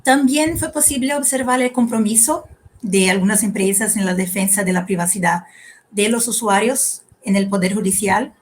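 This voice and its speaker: A woman from Brazil, giving a measured, prepared talk as a panelist in a language that is not her one. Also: medium pitch. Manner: careful, measured delivery in a second foreign language. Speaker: panelist, researcher. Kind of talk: prepared conference presentation.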